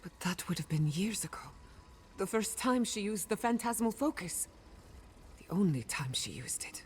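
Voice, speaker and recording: A woman's voice: medium pitch, very animated, normal speed, a little hiss or hum, natural voice. An actress playing a haunted, defiant woman in a video game, intense and emotional in her delivery. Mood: shaken, pleading. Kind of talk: video game dramatic dialogue.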